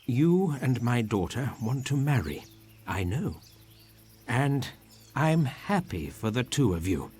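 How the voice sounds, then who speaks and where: Man reading as a slow-spoken kindly father. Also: medium pitch, very animated, a little mellow, slightly rough, exaggerated voice. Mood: tender, happy. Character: kindly, contrite father giving his blessing and orders. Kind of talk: storybook character voice.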